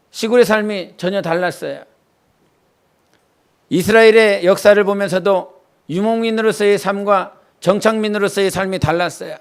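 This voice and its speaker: A man's voice: high-pitched, creaky, clear, resonant tone. A male pastor from the Jeolla region preaching a Wednesday church sermon, moving between earnest teaching and homely stories from his childhood. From Jeolla, South Korea. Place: church sanctuary.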